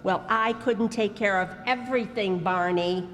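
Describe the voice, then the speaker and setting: An actress playing a cheerful, patient older sister who keeps smoothing over her husband's rudeness. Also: medium pitch, a little slow, a bit bright, natural voice. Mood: upbeat. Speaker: amateur radio actor. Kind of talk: old-time radio comedy acting. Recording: live stage hall reverb.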